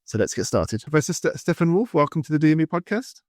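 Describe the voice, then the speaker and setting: A man's voice: a little high, very animated, fast, a little mellow, no echo, studio quality. A young man from England hosting a podcast, introducing his guest and asking loose, rambling questions full of fillers. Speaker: podcast host. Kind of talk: podcast interview.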